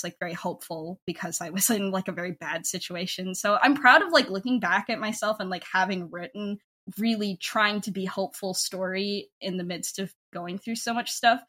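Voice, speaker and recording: A woman in her twenties speaks as a guest in a relaxed interview. Her voice sits at a middle pitch. She talks informally and chattily, dropping "like" into her sentences often. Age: 20s. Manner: informal, chatty, heavy use of "like" as filler. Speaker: podcast creator and writer, interview guest. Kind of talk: casual conversational interview.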